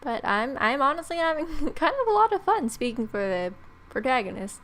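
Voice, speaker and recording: A woman's voice: high voice, big pitch swings, normal speed, fairly bright tone. A young adult woman speaking informally and unhurriedly to viewers as the host of a gaming video. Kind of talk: casual chat to viewers, signing off a let's play. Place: small bedroom at home.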